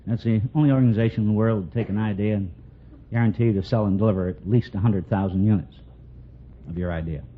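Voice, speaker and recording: A man, a quiz contestant, answering politely with earnest sales-pitch explanations. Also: fast talker. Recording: muffled, hissy 1940s radio recording.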